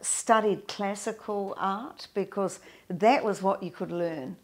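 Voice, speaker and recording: Gravelly older New woman. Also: mid-range voice, slow. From New Zealand. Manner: reflective, with many restarts and fillers. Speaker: guest musician. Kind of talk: interview conversation. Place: indoor studio with framed awards.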